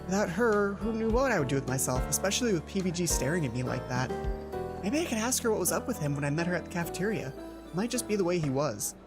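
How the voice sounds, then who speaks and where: A let's play host reads a visual novel aloud in a casual way. The narration often trips and restarts, broken up by offhand comments. Game music is clearly audible behind the voice. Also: mid-range voice, very animated, fast talker. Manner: stumbles over the text, self-interrupting.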